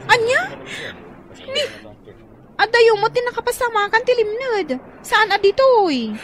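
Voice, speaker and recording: Very high-voiced, inquisitive young friend. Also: female voice, expressive, a little slow, slight background noise. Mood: concerned, surprised. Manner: questioning. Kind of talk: Ilocano radio drama acting.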